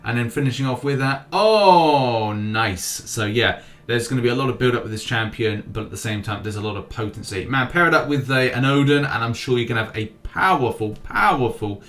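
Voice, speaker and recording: A man's voice: mid-range voice, fairly quick, few pauses, fairly bright tone. A man hosting a gaming news channel, giving casual, informal and enthusiastic commentary on game updates.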